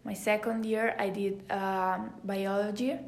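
A woman's voice: mid-range voice, flat delivery, unhurried, vocal fry. A teenage female student from Italy with an Italian accent, a native Italian speaker chatting in an interview and searching for words in English. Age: teenager, high school student. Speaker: podcast guest, exchange student. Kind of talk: casual interview chat. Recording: roomy echo on the voice.

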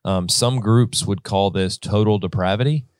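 Brief: male podcast co-host, lowish voice, dry sound, easygoing chat. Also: moderate pace, clean tone, no room sound. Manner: relaxed, lots of fillers.

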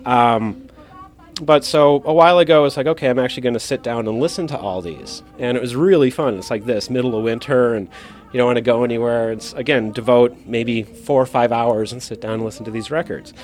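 Male record enthusiast, mid-range voice, talking conversationally through a demonstration with music softly behind him. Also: mid-range voice, fairly quick. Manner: explanatory, conversational. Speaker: record collector and DJ demonstrating his gear. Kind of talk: casual hands-on demonstration. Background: old records playing.

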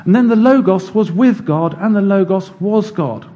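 A man delivers a sermon in a measured, explanatory way, walking his listeners through scripture step by step. His voice sits a little high for a man, with a warm, mellow tone. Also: highs cut off. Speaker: preacher. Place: church.

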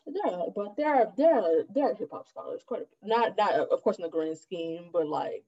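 Woman, mellow voice, very lively intonation, casual podcast co-host, slightly dull recording. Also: fairly low pitch, moderate pace. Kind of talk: casual chat.

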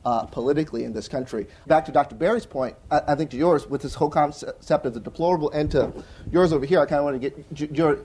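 Young guy on a panel, slightly high voice, rattles off points fast, keeps saying right?. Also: darkish tone, slight background noise. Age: young adult. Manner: rapid, earnest, lots of restarts and "right?" tags. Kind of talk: panel discussion answer. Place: conference hall with audience.

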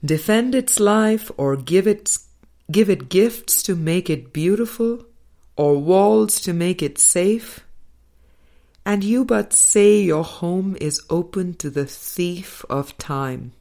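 A woman narrating a spiritual audiobook, reading scripted text in measured, deliberate phrases. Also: on the low side, lively intonation, dark tone. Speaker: audiobook reader. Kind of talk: scripted spiritual book narration, read aloud.

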